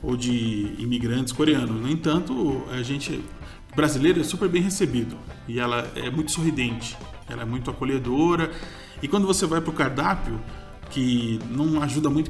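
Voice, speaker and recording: A man's voice: mid-range voice, normal speed, faint music behind. A man, co-hosting a casual food chat and often groping for names. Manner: chatty, gropes for names, laughs easily. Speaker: podcast co-host, food reviewer.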